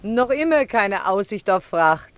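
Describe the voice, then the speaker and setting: High, clear, needling questioner. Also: male voice, big pitch swings, measured pace, fairly bright tone, no room sound, full character voice. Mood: probing, skeptical. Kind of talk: German radio play acting.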